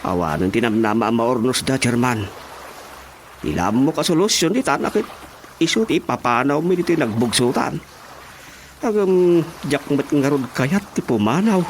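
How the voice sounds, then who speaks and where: A man's voice: mid-range voice, expressive, moderate pace, a little hiss or hum, close to an everyday voice. An actor with an Ilocano accent playing a village man in a radio comedy drama. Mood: earnest.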